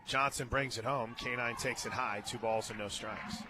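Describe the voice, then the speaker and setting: An American man doing live play-by-play radio commentary on softball, chatting between the calls. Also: mid-range voice, steady tone, normal speed, background noise. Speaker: play-by-play announcer. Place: outdoor stadium radio booth.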